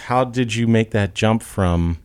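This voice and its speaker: A man's voice: on the low side, measured pace, darkish tone, clean tone. A man hosting a casual interview, asking friendly questions that start over a few times. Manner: friendly, asks rambling questions with restarts. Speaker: podcast host.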